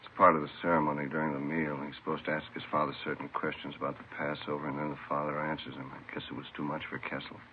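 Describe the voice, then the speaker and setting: Very deep, quick, understated detective. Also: male voice, level tone, dull audio. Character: matter-of-fact male police detective explaining customs quietly. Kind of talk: 1950s radio crime drama acting. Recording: band-limited, noisy old radio recording.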